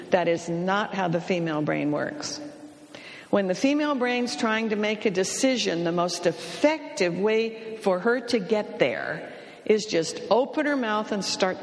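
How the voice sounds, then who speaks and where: A woman counselor giving an advice talk to an audience, speaking in a dry, wry and matter-of-fact way and gently teasing the men. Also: mid-range voice. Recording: long reverb of a hall.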